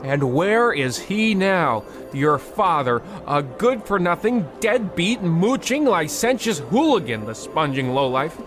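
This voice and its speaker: A man's voice: fairly high pitch, big pitch swings, slow, hardly any pauses, a bit bright, soft background music, full character voice. A man playing a fussy, pompous, scolding husband who blusters through an old-time comedy radio play. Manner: pompous, blustering, stammering indignation.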